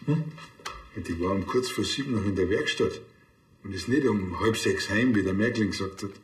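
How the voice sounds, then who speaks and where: An actor playing a laid-back, shrewd police officer in a TV drama, colloquial and needling, with a Bavarian accent. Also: male voice, lowish voice, moderate pace, roomy sound, natural voice. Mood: insistent.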